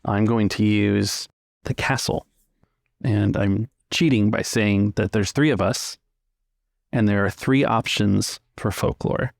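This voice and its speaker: A man with a lowish, creaky voice casually runs a tabletop game, setting out choices for the players. The recording is dry, without room sound. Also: fairly even intonation, slow pace, no echo. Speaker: game facilitator.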